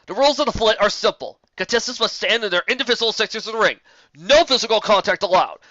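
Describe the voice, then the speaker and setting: A woman voicing a stocky old male griffin ring announcer, declaiming loudly and officially in a storybook. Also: mid-range voice, animated, fast talker, dry recording, muffled recording, voice pushed up, close to an everyday voice. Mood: official, proclaiming.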